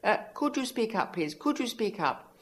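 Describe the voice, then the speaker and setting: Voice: mid-range voice, sing-song, normal speed, fairly bright tone, a little hiss or hum, pitched above the performer's own voice. A performer voicing a snooty, impatient, hard-of-hearing woman theatre director in an affected voice.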